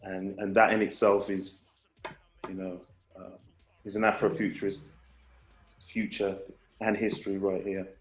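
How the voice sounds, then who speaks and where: A man's voice: sits low, level tone, a little slow, pauses often, soft background music. Reflective man in an echoey room. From England. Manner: reflective, rambling with restarts. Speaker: guest, hip hop artist. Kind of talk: casual conversation.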